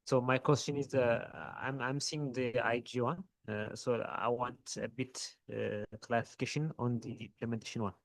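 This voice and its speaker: Ethiopian accented guy asking a conference question, halting, flat pitch, dry sound. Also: no room sound. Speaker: audience questioner, interoperability lead.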